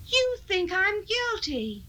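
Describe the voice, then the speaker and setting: A woman's voice: extremely high pitch, sing-song, takes it slowly, pushed and bright, exaggerated voice. An actress playing a brittle, defensive woman accused of a crime, in a 1970s radio drama. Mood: tense, weary. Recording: 1970s radio recording, music behind.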